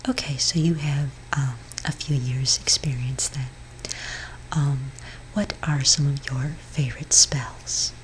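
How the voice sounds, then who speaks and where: A woman speaking in a breathy whisper for a soft-spoken ASMR roleplay, playing a gentle, hesitant therapist for witches. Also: a bass voice, fairly even intonation, a little hiss or hum, poor audio. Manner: gentle, hesitant, halting counsel.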